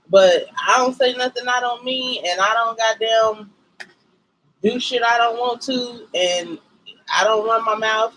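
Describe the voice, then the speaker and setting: A woman with a Southern US accent, co-hosting a couples livestream, chatty and quick to jump in. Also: fairly high pitch, a little hiss or hum, poor audio. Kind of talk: casual livestream chat.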